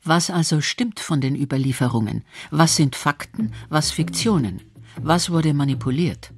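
Male documentary narrator, high register, scripted read, soft music bed. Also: animated, normal speed, husky.

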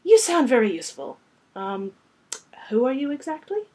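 A woman voicing a panicky, excitable teenage girl who has just become a vampire, in a comic storybook reading. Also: very high-pitched, a little slow, voice pushed up, heavily acted. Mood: shell-shocked. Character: frantic, squeamish teenage girl, newly turned vampire.

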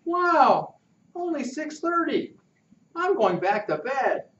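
An older man reading a storybook aloud, voicing an excitable young boy camper in a high, eager voice. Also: slow pace, echoey room, recorded from a distance, muffled recording, slight background noise, heavily acted. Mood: groaning.

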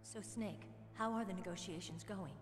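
A woman's voice: medium pitch, normal speed, lightly acted. Heavy echo and background music surround a young woman soldier voiced by an actress in a video game. She is tough and proud, speaking frankly and earnestly, with a searching streak under the self-assurance. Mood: challenging, teasing. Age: young adult. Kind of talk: dramatic game cutscene dialogue. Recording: echoey reverberant game audio, music underneath.

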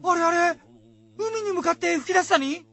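An actor playing a timid, doubting, easily swayed village husband in a radio drama, folksy and fretful. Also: male voice, extremely high pitch, normal speed, gravelly, bright, forceful tone, full character voice. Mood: amazed.

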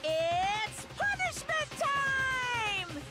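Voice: very high voice, very animated, very slow, over loud music, full character voice. An actor playing a gleeful, malicious robot bear mascot in a video game, theatrical and singsong.

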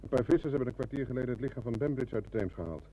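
A man's voice: mid-range voice, animated, rapid-fire, dark tone. An actor playing a dutiful policeman relaying messages in a radio drama. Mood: dutiful, businesslike. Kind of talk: Dutch radio drama acting. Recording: very noisy old radio recording.

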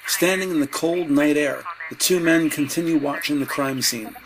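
A man narrating a scripted audio drama in measured, descriptive storytelling. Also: a little high, crowd noise, poor audio.

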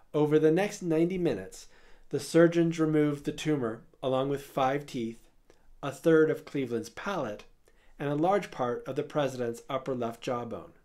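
A man tells a history story as scripted narration, in a measured way. He drops an occasional dry aside, and his voice sits at an ordinary middle pitch. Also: a little mellow.

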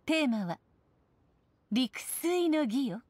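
Classy anime noblewoman, formal, poised, talks slow, high voice. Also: big pitch swings, darkish tone, husky, dry recording, heavily acted. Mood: poised, gracious. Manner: formal, composed. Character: elegant, poised, authoritative noblewoman serving as judge. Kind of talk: Japanese anime game voice acting.

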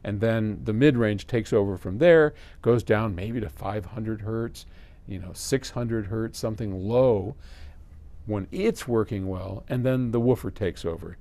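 Older male, 70s, American. Lowish, fry-laden voice; conversational explainer with informal asides. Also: a little slow, warm tone. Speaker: audio company presenter answering viewer questions. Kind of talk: casual explainer to camera. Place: small indoor electronics workshop.

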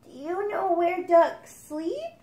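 A woman puts on a tiny, hungry little boy duckling from a storybook, his voice pitched way up and drawn out slowly. Each line comes out plaintive and childlike, with a lively rise and fall. The room behind the voice is echoey. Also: exaggerated voice. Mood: sleepy. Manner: high, slow and plaintive. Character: small hungry young male duckling. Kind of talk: storybook read-aloud.

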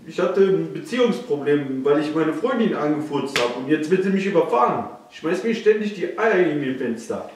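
A man's voice: fairly high pitch, expressive, normal speed, few pauses. A man in his twenties talking casually, as in a prank phone call. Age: 20s. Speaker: guest on relative's vlog. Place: living room, indoors. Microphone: camera a few feet away. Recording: noisy echoey room, distant microphone.

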